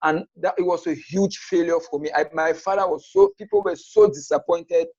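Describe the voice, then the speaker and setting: A man's voice: on the high side, huge pitch swings, moderate pace, gravelly, darkish tone, no room sound. Cameroonian man, dull dry recording. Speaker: podcast guest, YouTuber. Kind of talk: conversational personal storytelling.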